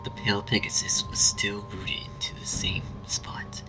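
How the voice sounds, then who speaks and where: A man narrating a scripted horror story in a hushed, breathy voice. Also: on the low side, monotone, moderate pace, whispered. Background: music.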